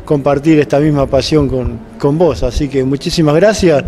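Older man, interviewer, high-pitched voice, soft mellow tone, casual delivery. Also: normal speed, a little hiss or hum. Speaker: interviewer, programme host. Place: indoor block-walled room at a racetrack. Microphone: handheld microphone.

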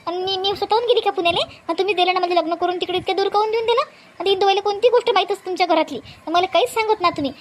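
A woman's voice: extremely high pitch, very fast, slight background noise. A woman playing an aggrieved married sister in a comedy cartoon, accusing and repetitive, with a Vidarbha Marathi accent. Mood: hurt, accusing. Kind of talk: exaggerated cartoon family comedy.

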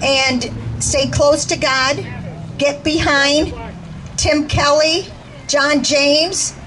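A woman's voice: high-pitched, steady tone, drawn-out words. A woman from Cuba giving an earnest, urgent political speech at an outdoor rally through a handheld microphone. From born in Cuba, moved to the US as a young child. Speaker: rally speaker, retired public school teacher. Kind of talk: impassioned political stump speech. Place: outdoors under a canopy by a roadside. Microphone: handheld microphone through PA speaker. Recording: noisy outdoor PA with traffic.

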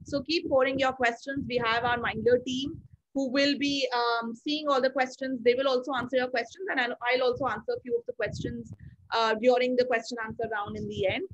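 A woman's voice: fairly high pitch, fairly even intonation, fairly quick. A young woman in her twenties hosting a webinar from a small room at home, friendly with many fillers. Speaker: webinar host. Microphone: laptop mic on a video call. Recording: dull lo-fi video call audio.

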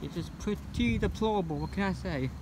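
A man walking around an empty building, narrating casually into his phone. He keeps repeating himself and turns loud when something surprises him. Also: sits high, a little fast. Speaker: urban explorer vlogger. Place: outdoors at an empty building and parking lot. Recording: loud outdoor background noise.

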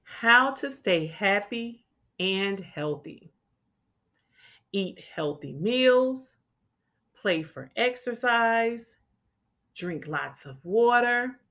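A woman reads a picture book aloud for small children in a teacherly way. Her pace is slow, with each word clearly enunciated. Her mid-range voice rises and falls in an animated, expressive melody. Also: mid-range voice.

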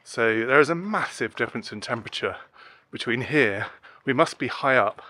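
A man's voice: medium pitch, animated, moderate pace, sharp tone. A man in his forties chatting casually to the camera as a travel vlogger, walking outdoors with a handheld device. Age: 40s. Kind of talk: casual vlog commentary to camera. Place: walking outdoors in narrow night streets. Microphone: phone or camera at arm's length.

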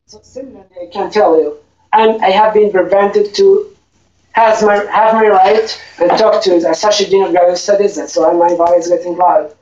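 A man's voice: sits high, normal speed, a little mellow. Man, insistent and repetitive, heard from far off in an echoey room, dull faint-hiss recording. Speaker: student in a meeting. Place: meeting room.

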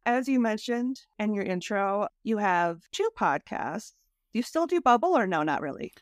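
A woman hosts a podcast in an informal, conversational style. Her voice sits at an average pitch. The recording is close and dry. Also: very animated, moderate pace, no room sound. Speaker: podcast host. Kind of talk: casual hosting and chat.

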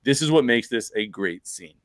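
A middle-aged American man hosts a casual podcast from home. He speaks emphatically in a medium-pitched voice, close to the microphone with very little room sound. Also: expressive, moderate pace, a bit bright, no room sound. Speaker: podcast host. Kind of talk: casual, animated podcast discussion. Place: bedroom at home, on a video call. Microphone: close desk microphone with headset.

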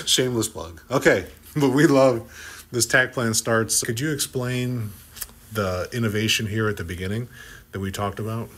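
A middle-aged man hosts a podcast from an office meeting room, speaking into a desk microphone. His voice sits a little low. He talks in an upbeat way and jokes with his guest. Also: unhurried, fairly bright tone. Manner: upbeat, joking, teasing. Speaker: podcast host. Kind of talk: lively on-site podcast chat. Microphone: desk podcast mic.

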